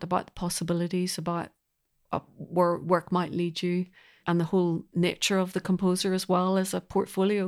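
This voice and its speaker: Thoughtful middle-aged woman, slightly low voice. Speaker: composer and interview guest. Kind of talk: reflective interview conversation.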